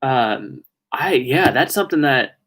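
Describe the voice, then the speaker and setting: A young man streaming live, talking casually and at length with his audience. He often restarts his sentences and lets them trail off quietly. After an hour of talking, his mid-pitched voice has turned a little raspy. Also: expressive, measured pace. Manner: rambling, self-correcting, trailing off quietly. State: voice getting raspy from long talking. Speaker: live streamer answering viewer questions.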